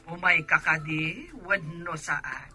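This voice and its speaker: A man playing an older, insistent aunt in a radio drama, speaking in a measured, coaxing manner. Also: fairly high pitch, lively intonation, faint music behind. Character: older aunt, insistent and inviting toward her niece.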